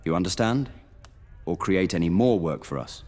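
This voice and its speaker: Guy doing a stern old-world monster hunter knight, low voice, formal and grave, big echoey hall with music. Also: expressive, moderate pace, darkish tone, breathy edge. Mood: admonishing. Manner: solemn, formal, admonishing. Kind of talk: immersive fantasy audio roleplay. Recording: long hall reverb under music.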